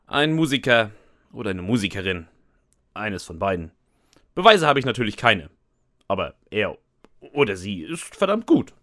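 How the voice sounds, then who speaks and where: A man with a fairly deep but bright, forward voice narrates a comic audio drama in the first person. He sounds sarcastic and resigned, recorded dry and close. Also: big pitch swings, normal speed, long pauses, dry recording.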